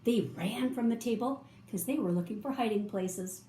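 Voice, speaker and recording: A woman reading a children's picture book aloud, with expressive, drawn-out emphasis for young listeners. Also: medium pitch. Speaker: storybook reader. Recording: roomy echo, faint background noise.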